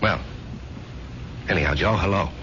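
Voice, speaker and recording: An actor playing an earnest, self-doubting husband in a radio drama, with a hesitant, plaintive, sincere way of talking. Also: male voice, low-pitched, normal speed, natural voice. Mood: anxious, pressing. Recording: muffled, noisy old radio broadcast.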